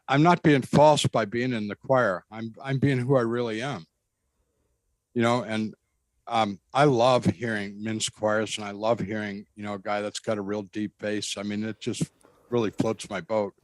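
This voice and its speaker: An earnest man with a bass voice telling his story to an interviewer. He is emphatic and repeats phrases as he goes. The recording is dry, with no room sound. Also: fairly even intonation, measured pace, long pauses, no room sound. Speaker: guest describing a spiritual experience. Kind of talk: conversational storytelling.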